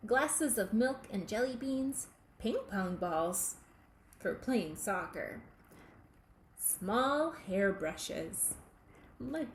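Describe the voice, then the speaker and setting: A woman reading a children's picture book aloud, in a deliberate, expressive way aimed at young listeners. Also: a little high. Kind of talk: children's storybook read-aloud. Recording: echoey room with faint background noise.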